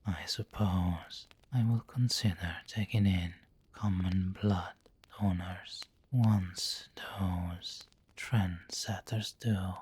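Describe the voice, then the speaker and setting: A man playing a refined, possessive vampire lord who dotes on the listener in an intimate, whispery ASMR roleplay, speaking formally and deliberately. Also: sits low, steady tone, darkish tone, full character voice. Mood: haughty, musing. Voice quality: breathy, whispery, husky. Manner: slow, deliberate, formal, pausing mid-phrase. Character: aristocratic male vampire lord, possessive, doting, refined and snobbish.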